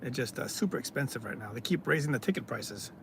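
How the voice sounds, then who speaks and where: Gravelly man chatting on a live stream. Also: medium pitch, animated, fairly bright tone, a little hiss or hum. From California, United States. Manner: reads viewer comments aloud and answers them, halting with many fillers. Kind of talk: casual live-stream chat.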